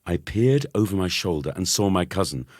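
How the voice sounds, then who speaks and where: Low, very animated male storyteller. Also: moderate pace, no echo, studio quality. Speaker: audiobook narrator. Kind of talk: expressive scripted children's story narration.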